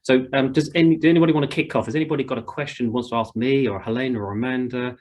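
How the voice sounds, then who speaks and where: A British man hosts an informal online session. His voice sits at a middling pitch. He speaks colloquially, as if chatting among colleagues. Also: steady tone, racing, darkish tone. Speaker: host of an online ground school session. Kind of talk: informal group chat.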